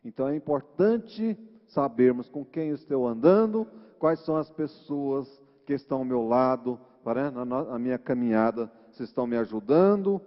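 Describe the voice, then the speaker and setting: A man with a Brazilian Portuguese accent preaching an informal sermon, teaching in a conversational way with frequent tag questions. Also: fairly high pitch. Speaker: church preacher. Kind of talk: informal sermon retelling Bible stories. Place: large reverberant church hall. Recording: muffled, echoing church hall recording.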